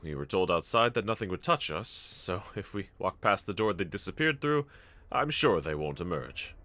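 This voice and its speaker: A man performs a gallant young demon slayer with a deep, bright-edged voice. His delivery is earnest and formal yet tender, with expressive rises and falls as he comforts a companion in an anime audio roleplay. Background ambience is clearly audible. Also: moderate pace, dry recording. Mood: gentle, reflective. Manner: gentle, reassuring, earnest, formal phrasing. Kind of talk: anime audio roleplay acting, speaking to the listener.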